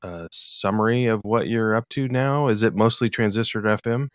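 American guy hosting a podcast, smooth lowish voice, rambly and hesitant, casual chat. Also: no echo, studio quality. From US Pacific Northwest, eastern Washington. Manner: halting, rambling, hesitant delivery. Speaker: podcast host, interviewer.

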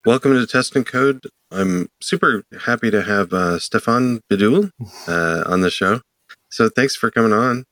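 A man's voice: mid-range voice, lively intonation, unhurried, a bit bright, soft background music. A man hosting a podcast, curious and conversational as he introduces the episode and asks questions. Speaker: podcast host.

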